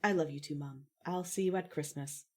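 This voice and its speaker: A woman voicing an emotional young woman in love, with a husky voice, in an audio drama. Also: low-pitched, brisk. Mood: affectionate. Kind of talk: audio fiction acting.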